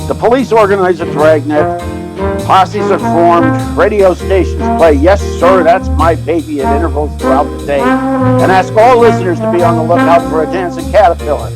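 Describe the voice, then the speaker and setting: A man playing an excitable, money-minded theatrical agent in an old-time radio drama, animated and stammering when flustered. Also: on the high side, moderate pace, over loud music, lightly acted, poor audio. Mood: narrating. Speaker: narrator and lead character.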